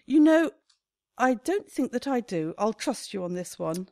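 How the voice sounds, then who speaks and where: An actress playing a brisk, self-important female shop owner in a radio comedy, haughty and expressive. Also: a little high, dry recording, clean studio sound. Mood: pleased. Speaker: actor in amateur radio play.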